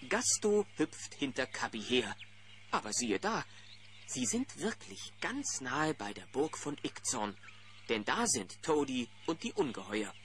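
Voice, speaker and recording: A man narrating a scripted children's audio play in an animated storytelling style. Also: medium pitch, measured pace, slight background noise.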